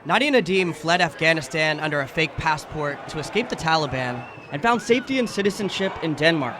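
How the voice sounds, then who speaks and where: A man's voice: on the high side, animated. Documentary male narrator over noise. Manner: measured documentary storytelling. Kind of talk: scripted narration. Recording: clear background noise.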